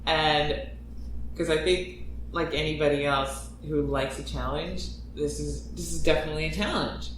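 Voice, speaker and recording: A woman voice actor talking casually in a Q&A, joking and animated, with some mild swearing. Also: low-pitched, moderate pace. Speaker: voice actor on a panel. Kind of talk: casual Q&A chat. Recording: echoey room, distant mic, faint noise.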